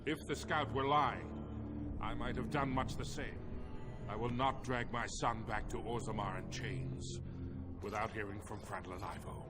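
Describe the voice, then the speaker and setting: A man's voice: on the low side, fairly even intonation, unhurried, over loud music, close to an everyday voice. A man playing a grave old dwarven king and troubled father in a fantasy game, speaking solemnly and formally. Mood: grave, firm. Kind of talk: dramatic fantasy game acting.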